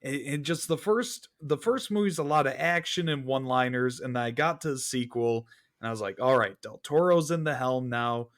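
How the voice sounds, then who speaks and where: A man's voice: medium pitch, moderate pace, a bit bright. A man speaking as a podcast guest in a casual film review chat, candid and conversational with rhetorical asides.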